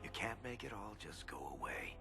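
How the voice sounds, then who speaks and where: An actor playing a gruff, street-smart male dwarf in a video game, blunt and protective with a wry manner. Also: mid-range voice, normal speed, music in the background, natural voice. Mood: reassuring. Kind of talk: video game cutscene acting.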